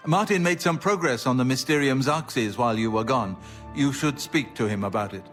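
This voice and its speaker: An actor playing a grave, dutiful grand master of a knightly order in a video game, measured and formal. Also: male voice, medium pitch, a bit bright, close to an everyday voice. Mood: grave, urgent.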